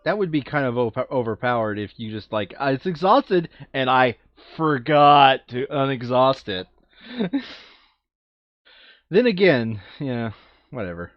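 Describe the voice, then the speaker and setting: A man's voice: mid-range voice, big pitch swings, a little slow. Guy playing a board game, jokey casual chat, kinda muffled lo-fi sound, no echo. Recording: dull lo-fi sound.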